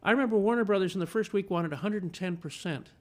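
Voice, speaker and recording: A man in his fifties, born in Chile, talks with an eager, storytelling enthusiasm. His voice sits a touch high, and he often repeats a word or two as he gets going. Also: fast talker. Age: 50s. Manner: enthusiastic storyteller, repeats words when starting. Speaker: film critic, guest. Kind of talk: casual interview conversation.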